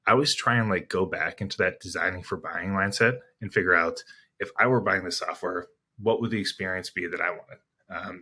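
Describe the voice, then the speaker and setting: A man with a deep voice that still sounds bright and firm. He talks like a sales executive being interviewed, explaining things conversationally. His delivery is reflective, adding points one after another. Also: breathy edge.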